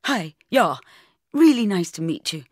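A woman's voice: mid-range voice, big pitch swings, unhurried, no room sound, clean studio sound. A woman voicing a posh, awkward teenage schoolboy, hesitant and polite, in a story. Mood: awkward, eager.

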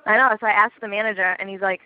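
A young woman telling a story in casual chat on a phone call, chatty and quick to swear. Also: mid-range voice, fairly even intonation, clean tone. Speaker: caller. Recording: dry tinny phone line.

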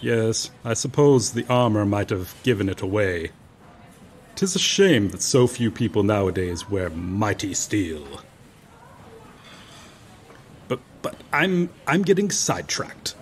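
A man playing a courteous, befuddled medieval knight in an audio roleplay, speaking earnestly to the listener in formal, theatrical, archaic phrasing with hesitant pauses. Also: mid-range voice, slight background noise. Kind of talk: ASMR roleplay audio drama, addressing the listener.

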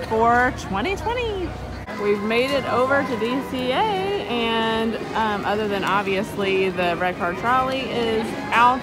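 A woman in her thirties, hosting a casual vlog and chatting in an upbeat way with many fillers, recorded outdoors on a phone. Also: on the high side. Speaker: vlogger host. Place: outdoors at a busy theme park. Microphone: phone camera held by the speaker. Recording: loud crowd and music behind.

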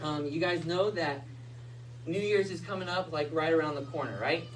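Male pastor, 30s, lively storyteller, recorded from the back of a small hall. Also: on the high side, moderate pace. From United States. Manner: animated storytelling with hand gestures. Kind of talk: sermon. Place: small church hall with Christmas tree. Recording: distant mic, faint room noise.

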